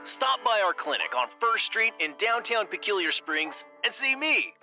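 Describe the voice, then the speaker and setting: A man playing an earnest small-town horse therapist pitching his clinic in a parody radio commercial. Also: lively intonation, moderate pace. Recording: slightly dull, loud guitar music behind.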